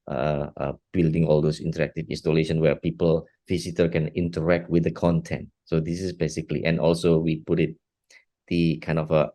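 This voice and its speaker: A middle-aged man from Singapore, answering interview questions in a halting way, often restarting and repeating words. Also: extremely low pitch, dark tone. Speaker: guest, art technologist and educator.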